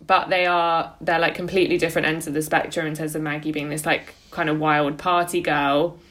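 A woman's voice: low-pitched, little pitch movement, a little fast, a bit bright. A woman in her late twenties talking casually as a podcast co-host, feeling her way tentatively through her thoughts. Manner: tentative, conversational, frequently hedging with "like".

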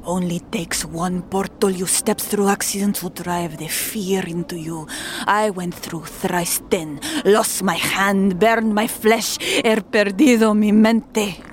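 An actress playing a frightened, half-mad woman with a Spanish accent, halting and haunted, slipping Spanish words into her English. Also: medium pitch, steady tone, slight background noise, lightly acted. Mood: bitter, broken. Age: middle-aged. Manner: halting, haunted, mixing Spanish into English, often dropping to a whisper. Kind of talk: audio drama acting.

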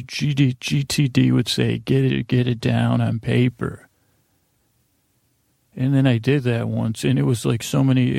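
A man with a gravelly, relaxed voice rambles through a bedtime podcast monologue. He stammers and doubles back on himself, self-deprecating as he goes. The sound is close and dry, with no room on it. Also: mid-range voice, dry recording. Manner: meandering, halting, stammering, self-interrupting. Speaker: podcast host.

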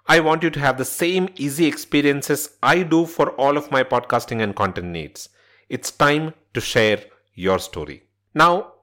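Man hosting a podcast, lively pitch swings. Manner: instructional, addressing listeners directly. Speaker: podcast host. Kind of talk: scripted solo podcast narration.

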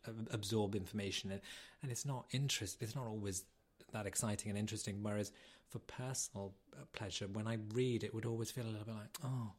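A British man co-hosting a podcast in casual chat, talking in a chatty, animated, self-deprecating way. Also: on the low side, fast talker. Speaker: podcast co-host.

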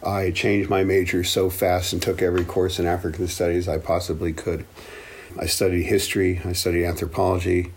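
A man's voice: deep voice, level tone, a little fast, husky. A man in his seventies from the Congo, a native speaker of English and Swahili, reflecting on his life in an earnest, thoughtful interview style. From Belgian Congo. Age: 70s. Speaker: interview guest, African studies professor. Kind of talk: reflective personal storytelling.

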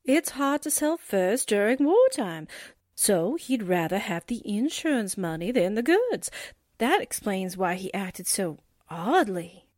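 A woman's voice: medium pitch, sing-song, a little slow, darkish tone, dry recording, studio quality. A woman playing a breezy, flirty 1940s newspaperwoman sidekick in a radio drama, with slangy, playful wisecracks. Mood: puzzled, chatty. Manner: folksy, slangy, playful exclamations.

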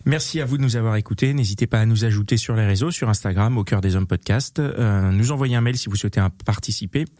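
Fast-talking low-voiced male podcast host. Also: a little mellow, dry recording, studio quality. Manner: quick, friendly sign-off, plugs social media. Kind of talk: casual chat, show outro.

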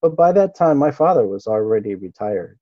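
A man's voice: fairly low pitch, very animated, moderate pace, dry recording, dull audio. A middle-aged man from Okinawa whose first language was Japanese, recalling his childhood as an interview guest in an easygoing, hesitant way with lots of fillers. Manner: easygoing, hesitant, with frequent fillers and soft laughs. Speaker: interview guest, martial artist. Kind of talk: relaxed reminiscing interview talk.

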